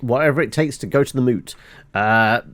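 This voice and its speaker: A man's voice: medium pitch, normal speed, a bit bright, dry recording. A man giving casual playthrough commentary on a video game, playfully thinking aloud. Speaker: gaming video host. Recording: faint background noise, game ambience.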